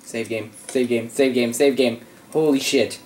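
A host playing a horror game, scared and swearing, with a voice that is wearing out from yelling. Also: mid-range voice, fairly even intonation, unhurried, slight background noise. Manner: frightened, swearing, bursts of yelling at enemies. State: voice giving out. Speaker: gaming channel host. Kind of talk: live let's-play commentary. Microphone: gaming mic.

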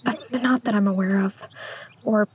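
A woman playing a reserved, uneasy small-town schoolteacher in an audio drama, speaking in a hesitant, hushed way. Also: mid-range voice, fairly even intonation, normal speed, lightly acted. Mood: uneasy, guarded. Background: music.

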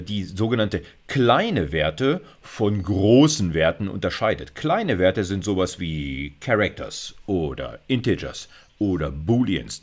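A middle-aged man giving an informal, conversational lecture, often punctuating explanations with little check-in words. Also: fairly low pitch. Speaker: university computer science lecturer. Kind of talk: informal video lecture with slides.

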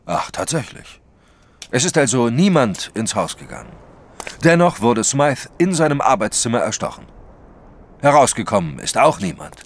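An actor playing an excitable, emphatic French detective, baffled by a case, in a radio play. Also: male voice, medium pitch, normal speed. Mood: puzzled, insistent. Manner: dramatic, emphatic.

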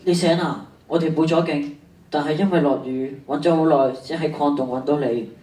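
A man's voice: fairly high pitch, steady tone, moderate pace, mellow, natural voice. A teenage boy with a Hong Kong Cantonese accent playing a caring, easygoing schoolboy friend in a radio drama. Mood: reassuring. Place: stage of a large hall. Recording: noisy echoey stage, distant mic.

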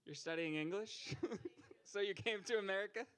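A man's voice: high voice, moderate pace, smooth. A young man hosting a poetry open mic on a small stage, teasing the crowd with stand-up style banter into a handheld mic. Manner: joking, teasing, rising to loud calls to the stage.

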